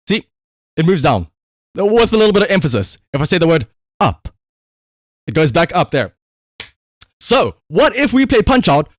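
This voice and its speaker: Male livestream gamer, slightly high voice, very lively intonation, casual and sweary, excitable. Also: no room sound, clean studio sound. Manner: excitable, emphatic, shouts at the game, swears. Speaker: live streamer. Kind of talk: casual livestream gaming commentary.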